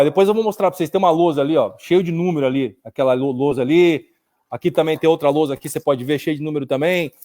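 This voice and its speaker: A Brazilian man with a Brazilian Portuguese accent speaking from his office in a casual live discussion, telling stories in an animated way and often calling his listener "cara". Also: a little high. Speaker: business owner and co-host. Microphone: video call.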